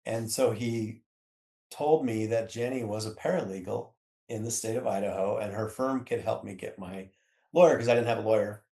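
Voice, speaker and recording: A man's voice: fairly low pitch, moderate pace, mellow. Echoey American man chatting casually. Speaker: podcast guest. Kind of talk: casual chat, recounting. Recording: roomy, echoey sound.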